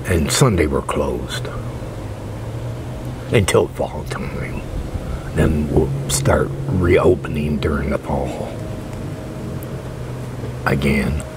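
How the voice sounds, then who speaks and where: A man, whispering softly and haltingly in an ASMR librarian roleplay, often murmuring little affirmations. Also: very deep voice. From United States. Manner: halting, rambling, repeats soft affirmations. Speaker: ASMR performer playing a librarian. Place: outdoors at a metal picnic table under a shelter. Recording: noisy outdoor recording with traffic-like hum.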